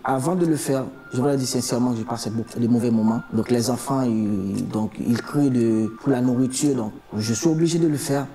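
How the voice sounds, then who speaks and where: A man's voice: medium pitch, a little fast, hardly any pauses, dark tone. A man with a Haitian accent giving spontaneous interview testimony as an asylum seeker, emphatically repeating his phrases.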